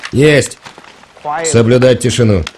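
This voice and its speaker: A man's voice: mid-range voice, slow, lightly acted. A man voicing American paratroopers of the Second World War in an amateur cartoon war film. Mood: gruff banter. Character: American WW2 paratroopers, soldiers in the field. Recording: loud noise over the voice.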